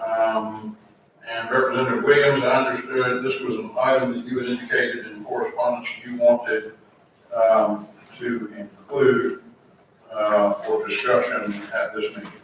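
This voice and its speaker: Meeting chair, polite and procedural, distant mic in a very echoey room. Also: male voice, mid-range voice, little pitch movement, measured pace, a bit bright, a little hiss or hum. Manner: courteous, procedural, slightly hesitant. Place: large public meeting room.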